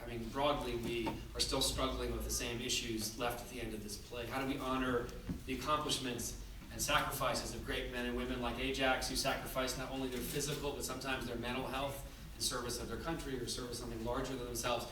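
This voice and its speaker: A man speaking as director and host to a theater audience, explaining in an earnest, measured way. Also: medium pitch, level tone. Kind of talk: public address to a theater audience. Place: theater, addressing live audience. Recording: echoey theater, distant, noisy.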